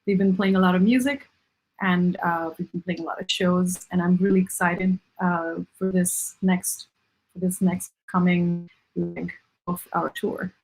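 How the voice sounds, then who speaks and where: Woman from India, halting friendly answers. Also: fairly low pitch, steady tone, slightly rough, rough recording. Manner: warm, halting, many ums and restarts. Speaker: musician guest. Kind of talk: interview answers.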